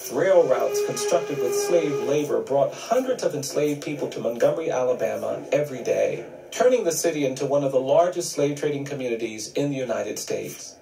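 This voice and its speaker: Grave, dark-voiced documentary narrator. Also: male voice, medium pitch, lively intonation, creaky, slight background noise. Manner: grave, measured delivery. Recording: roomy, distant video playback.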